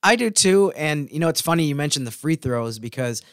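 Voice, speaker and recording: A man's voice: fairly high pitch, animated, brisk, a bit bright, no room sound, clean studio sound. A man co-hosting a sports podcast, explaining plays in casual, conversational analysis.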